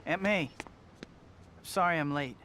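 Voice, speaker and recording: An actor playing an earnest, weary young hero in a video game, mild and understated in delivery. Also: male voice, on the high side, slow, pushed and bright, slight background noise. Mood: apologetic. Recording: music behind the voice.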